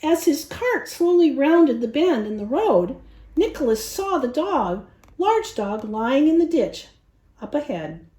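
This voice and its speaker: A woman reading a children's story aloud as a storyteller, in an expressive narrating style. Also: sits high, unhurried. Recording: echoey, roomy sound.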